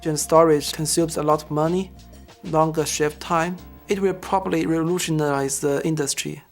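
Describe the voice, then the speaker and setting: A man from Zhejiang with a Chinese accent, a student researcher telling about himself and his work in halting, non-native phrasing. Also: a little high, steady tone, creaky, faint music behind. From Zhejiang, China. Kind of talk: interview-style personal and project account.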